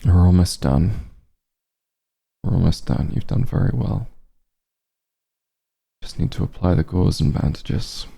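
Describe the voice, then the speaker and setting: Man acting as caring back-room doctor, ASMR roleplay, very mellow and dark, gravelly, slow, reassuring. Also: exaggerated voice. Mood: gentle, careful. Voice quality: husky, low, gravelly. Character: gentle, concerned back-room doctor, an old friend.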